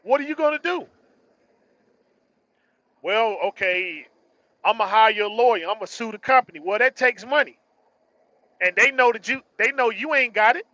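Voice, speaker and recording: Man, early 40s, high bright voice, slangy and emphatic vlog monologue that circles back on phrases; dry, muffled lo-fi sound. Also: lively intonation, normal speed, pauses often, no room sound. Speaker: truck driver vlogger. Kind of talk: casual motivational monologue.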